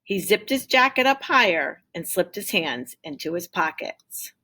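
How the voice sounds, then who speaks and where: A woman with a low, rather husky voice reads a children's storybook aloud. She is a teacher, acting out the dialogue expressively and pausing to explain things to pupils. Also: expressive, bright, forceful tone.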